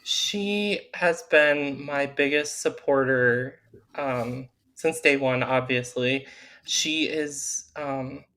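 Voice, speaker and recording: A man's voice: mid-range voice, very slow. A man being interviewed as an author, speaking earnestly and fondly in casual chat, with frequent fillers. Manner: earnest, warm-hearted, with fillers like "like" and "um". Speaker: author guest.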